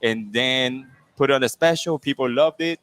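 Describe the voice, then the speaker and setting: A man from Venezuela, a chef and restaurant co-owner, talking in a relaxed, rambling way, full of fillers, in a restaurant. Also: medium pitch, normal speed, clean tone. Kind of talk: casual interview answers.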